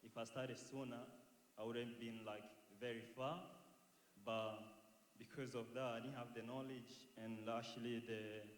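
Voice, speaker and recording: A man's voice: medium pitch, flat delivery, measured pace. A young man gives an informal talk to an audience, nervous and hesitant, often repeating words. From Congo, raised in Tanzania and later South Australia. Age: young adult. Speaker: guest speaker at a church youth expo. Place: large echoing indoor hall. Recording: echoey hall, some background noise.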